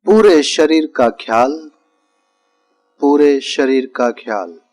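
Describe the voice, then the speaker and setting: A man giving guided relaxation instructions as a yoga nidra teacher, speaking calmly and deliberately in short, repeated phrases with pauses. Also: medium pitch.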